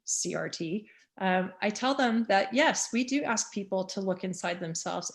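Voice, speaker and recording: A woman in her fifties, a school superintendent, speaking candidly and earnestly at a community meeting. She often pauses on "um" fillers. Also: mid-range voice. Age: 50s.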